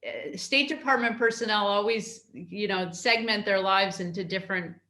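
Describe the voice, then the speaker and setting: A middle-aged woman from New York, a consul answering interview questions in a halting way, with many fillers and restarts. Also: mid-range voice, pushed and bright, roomy sound. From New York, United States.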